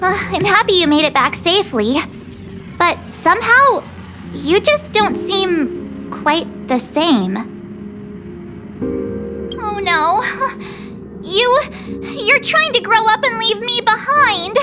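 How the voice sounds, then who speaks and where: A chatty teenage girl adventurer from a game, extremely high-pitched. She is loyal, a little clingy and melodramatic, with clear music playing behind her. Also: expressive, takes it slowly, pauses often, full character voice. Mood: pouty, melodramatic. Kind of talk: video game dialogue.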